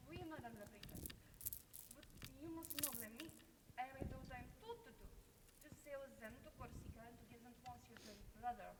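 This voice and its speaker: A woman acting a blustery, proud, rough male fisherman in a radio play. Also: fairly high pitch, fairly quick, long pauses, slight background noise, close to an everyday voice, rough recording. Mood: grudging. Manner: blustery, exclamatory.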